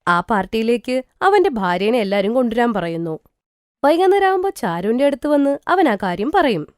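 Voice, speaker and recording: A woman narrating a cartoon moral story in an expressive storybook style. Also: on the high side, brisk, smooth, no room sound, clean studio sound. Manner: lively, expressive.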